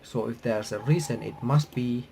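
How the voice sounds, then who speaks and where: A man doing a casual visual novel playthrough, reading the on-screen text aloud in a halting, stumbling way and reacting as he goes. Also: medium pitch, vocal fry, dark tone, slight background noise. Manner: halting, stumbling reader who rereads phrases.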